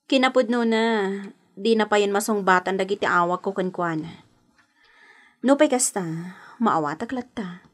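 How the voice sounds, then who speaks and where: A woman's voice: medium pitch, moderate pace, long pauses, close to an everyday voice. A woman acting a trusting, troubled young girlfriend in a radio drama, with a Filipino accent. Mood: wistful.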